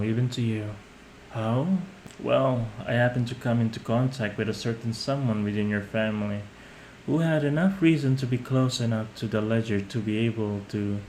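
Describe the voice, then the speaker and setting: A man's voice: medium pitch, darkish tone, lightly acted. Smug young mafia boss, acted by a man, noisy recording. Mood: smug menace. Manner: smug, menacing, condescending, theatrical. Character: possessive, scheming young male mafia boss, coldly gloating. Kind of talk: scripted roleplay audio monologue, addressed to a captive listener. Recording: clearly noisy amateur home recording.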